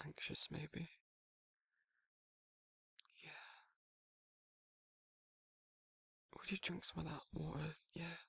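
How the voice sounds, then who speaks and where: Man playing a gravelly, soft-hearted werewolf. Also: on the high side, no room sound, exaggerated voice. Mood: gentle concern. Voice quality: gravelly, husky. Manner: halting, awkward, softly reassuring. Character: shy, protective, tender young male werewolf in love. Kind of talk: romantic ASMR audio roleplay, intimate and conversational.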